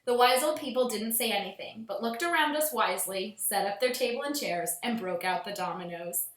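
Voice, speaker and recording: A woman reading a children's storybook aloud as a schoolteacher would, with expressive storytelling, recorded in a roomy space at home. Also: medium pitch, fairly quick.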